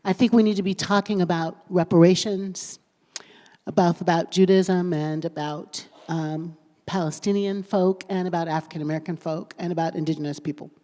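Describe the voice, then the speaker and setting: A woman's voice: lowish voice, fairly even intonation, normal speed, dark tone. A woman pastor speaking on a panel, earnest, repeating phrases as she thinks aloud. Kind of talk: panel discussion. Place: lecture hall at a conference. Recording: large hall with long reverb.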